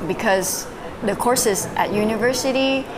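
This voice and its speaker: A young woman from Vietnam, a native Vietnamese speaker, telling her story as a podcast guest in a relaxed way with fillers and soft laughs. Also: fairly high pitch, unhurried, a crowd in the background, low-quality recording. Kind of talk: casual interview, recounting her story. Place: live-streamed online call. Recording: audible background noise.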